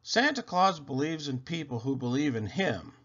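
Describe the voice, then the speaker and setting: A man's voice: a little high, expressive, normal speed, a bit bright. A man doing earnest little girl Noelle. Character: hopeful little girl, gentle believer. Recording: dull lo-fi recording.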